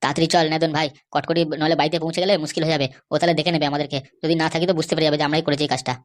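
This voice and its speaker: A woman's voice: low-pitched, fairly even intonation, racing, close to an everyday voice. A woman voicing a sly, insistent male schemer and constable in an amateur comedy cartoon. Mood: scheming, gossipy.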